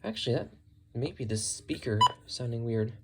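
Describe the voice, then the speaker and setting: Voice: mid-range voice, sing-song, normal speed, vocal fry, heavy background noise. A person narrating casually while working hands-on, musing and thinking aloud. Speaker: radio collector presenting a thrift find.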